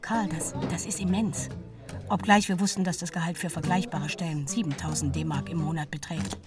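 A woman's voice: lowish voice, steady tone, moderate pace, close to an everyday voice. An actress playing an earnest, proud middle-aged mother reading out a formally worded letter to her son in a radio drama. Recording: slightly distant, loud guitar music behind.

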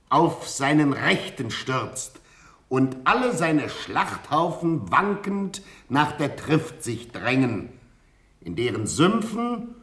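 Stern field marshal, slow and highly inflected. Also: male voice, a bit bright. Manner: declamatory, deliberate dictation in measured phrases. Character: stern, formal Prussian field marshal dictating orders. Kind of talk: classic German radio play stage acting.

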